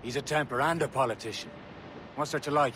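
An actor playing a gruff, steady, loyal assassin in a video game, firm and serious. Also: male voice, mid-range voice, quick pace, noisy background, lightly acted. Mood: determined. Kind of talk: video game cutscene acting.

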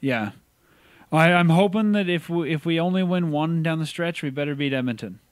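A man's voice: a little high, normal speed, no room sound. A man hosting a casual sports podcast, chatting in an upbeat way about hockey. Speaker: podcast host.

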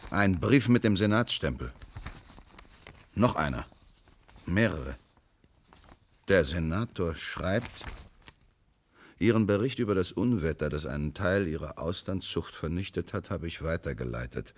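Deep-voiced, measured police commissioner. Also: male voice, animated, a little hiss or hum. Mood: reading, businesslike. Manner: measured, terse questioning with murmured replies. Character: grown male police commissioner, calm, sceptical, probing. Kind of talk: German radio crime drama acting.

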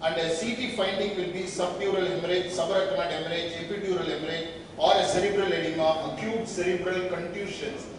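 Older man, Indian accent, medical lecture, clipped halting phrases, distant microphone, clear background noise. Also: high-pitched, steady tone. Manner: halting, listing points in short clipped phrases. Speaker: radiologist giving a medical lecture. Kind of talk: slide lecture. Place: indoor meeting hall at a podium. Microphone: distant camera microphone. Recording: echoey hall from far off, noisy.

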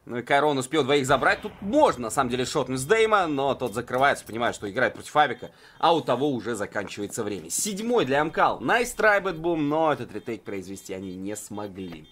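A man's voice: medium pitch, big pitch swings, fairly quick, few pauses, fairly bright tone. A man giving live, conversational esports commentary in colloquial gamer slang. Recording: game sound behind the voice.

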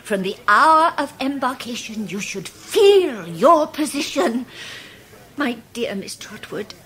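Radio drama wife: middle-aged, English, grandly dramatic, high-pitched, slow and stately delivery. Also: female voice, fairly bright tone, heavily acted. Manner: declamatory, dramatic, high-flown. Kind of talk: 1990s radio drama acting.

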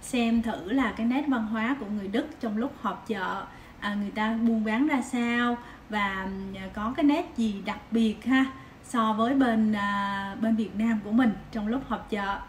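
A woman's voice: fairly high pitch, moderate pace, slight background noise. A woman in her thirties casually narrating a travel vlog, chatting directly to viewers with lots of fillers, at home and then walking through a busy street market. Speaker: vlogger. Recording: background music over the voice.

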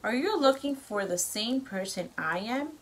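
A woman's voice: a little high, animated, a little slow, a little hiss or hum. A cheerful young prince read by a woman. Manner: friendly, upbeat storybook voice.

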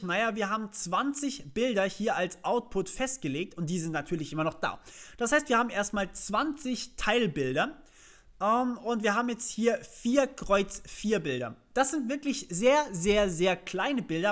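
A young man with a notably high-pitched voice hosts a programming tutorial. He walks through each step in a casual, conversational way, posing questions to the viewer and answering them himself. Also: fairly bright tone. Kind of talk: informal screencast tutorial, explaining code step by step.

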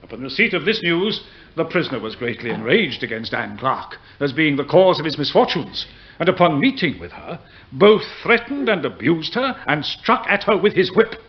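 A formal prosecutor in a period courtroom drama. His voice is high and highly varied in pitch, earnest and measured, on a slightly muffled recording. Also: big pitch swings. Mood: grave, narrating. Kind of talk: period radio play acting.

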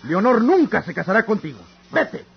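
An actor playing an angry, proud rural father in a radio drama, declaiming indignantly in a husky, rough voice. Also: sits high, sing-song, normal speed, heavily acted. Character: angry, proud rural father refusing his daughter's suitor. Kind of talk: old radio drama acting. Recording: dull, lo-fi old radio recording.

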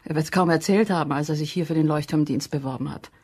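An actress playing a curt, weary, reluctant witness in a German crime radio play. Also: female voice, deep voice, quick pace, darkish tone, husky. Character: curt, weary, reluctant sister of a murdered man.

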